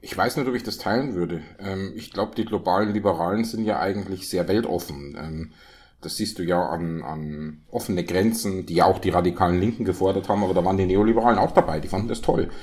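Brief: West German man, lowish voice, ironic and animated in discussion. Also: fast talker. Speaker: discussion partner. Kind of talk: casual political chat.

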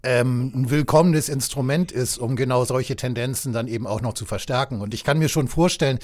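Brief: West German man, mid pitch, measured and long-winded in discussion. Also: a little mellow. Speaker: discussion partner. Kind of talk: casual political chat.

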